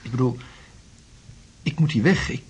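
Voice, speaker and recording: An actor playing a troubled, anxious tenant in a radio drama, earnest and hesitant. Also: male voice, medium pitch, normal speed, a little mellow, breathy edge. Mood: distressed. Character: troubled male tenant, anxious, convinced the house is wrong. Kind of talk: Dutch radio drama acting.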